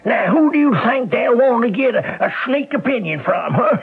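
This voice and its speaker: A very husky, high-voiced old jailbird in a radio drama. He rambles slowly and folksily, repeating himself with cocky authority, over a thin, phone-like radio sound. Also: sing-song, heavily acted. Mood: insistent, boastful. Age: older. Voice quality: husky, cackling, quavery old voice. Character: wily old male jailbird, self-taught legal expert. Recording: tinny band-limited 1970s radio broadcast.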